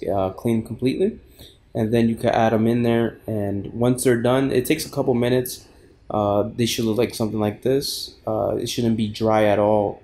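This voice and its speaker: A man's voice: medium pitch, darkish tone, a little hiss or hum. A man giving an informal how-to cooking voice-over as a fitness vlogger, addressing viewers casually.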